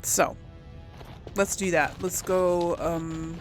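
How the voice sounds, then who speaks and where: A woman's voice: lowish voice, fairly even intonation, extremely slow, soft background music. A woman doing casual, musing game commentary, thinking aloud as she plays and role-plays. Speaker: gamer host of a role-play let's play.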